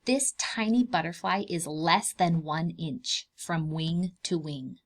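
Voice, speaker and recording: A woman with a slightly low voice reads a nonfiction picture book to preschool children. She explains things in simple words, stresses key words expressively and often asks her young listeners questions. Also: takes it slowly. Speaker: children's read-aloud host and preschool teacher. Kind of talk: read-aloud lesson for young children.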